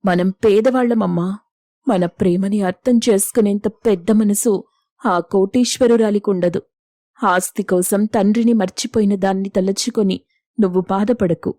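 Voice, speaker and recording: A woman's voice: mid-range voice, fairly even intonation, moderate pace, mellow, dry recording, studio quality, natural voice. A woman playing a self-sacrificing young elder sister in an audio story, hurt, proud and earnest. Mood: bitter sorrow. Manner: earnest, emotional. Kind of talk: acted dialogue in an audio story.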